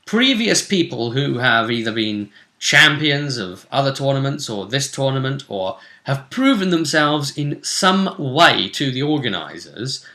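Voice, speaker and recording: Bright-voiced man hosting a chatty discussion. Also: medium pitch, sing-song, measured pace. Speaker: host and game master. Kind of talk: casual sports-pundit style discussion of a role-play game.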